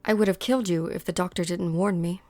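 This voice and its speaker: A woman playing a calm, guarded fighter who tends wounds, measured and analytical, in a fan-dubbed game drama. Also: lowish voice, dry recording, faint music behind, lightly acted. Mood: cool, guarded. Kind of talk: scripted fan-dub game drama acting.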